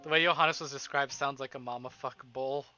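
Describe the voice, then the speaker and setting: A man live-streaming a visual novel, reading it aloud and breaking into casual, expressive asides with swearing. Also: medium pitch, brisk, highs cut off. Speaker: game streamer. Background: game music.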